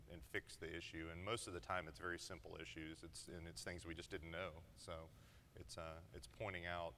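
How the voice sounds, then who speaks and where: A man's voice: low voice, sharp tone, big-room sound, slight background noise. A man in his thirties speaking as a conference panelist, conversational and plain-spoken, on a lapel mic. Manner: conversational, explains in plain terms. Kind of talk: panel discussion. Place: large conference hall stage.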